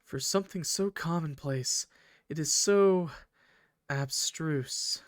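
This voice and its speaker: A man acts out a courteous villain from a visual novel, a train conductor with formal, eloquent speech. He takes his time over each phrase and keeps a quiet menace under the politeness. The sound is close and dry. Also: fairly high pitch, slow pace, no echo. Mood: musing, sinister. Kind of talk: visual novel character read aloud.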